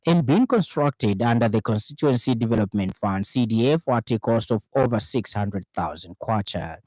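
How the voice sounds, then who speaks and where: A man's voice: mid-range voice, warm tone, no echo, poor audio. Male Zambian radio news reader, formal scripted bulletin delivery, close dry sound. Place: radio studio.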